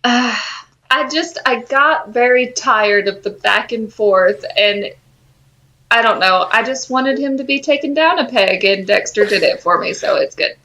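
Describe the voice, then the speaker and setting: A woman co-hosting a podcast, chatting casually about a TV show with halting, hesitant phrasing. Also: a little high, bright, forceful tone. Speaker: podcast co-host.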